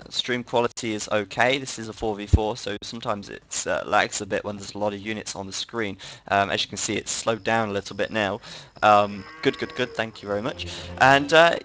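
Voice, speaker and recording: A man's voice: medium pitch, bright, forceful tone. A man giving chatty live play-by-play commentary on a strategy game stream, often correcting himself. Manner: chatty, self-correcting, laughs at own slips.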